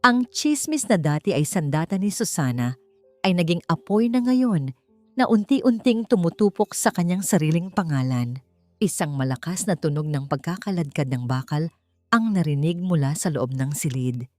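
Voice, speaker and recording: A woman's voice: deep voice, lively intonation, normal speed, warm tone, no room sound, faint music behind. A woman narrator reading a scripted, emotional drama story in a storytelling style.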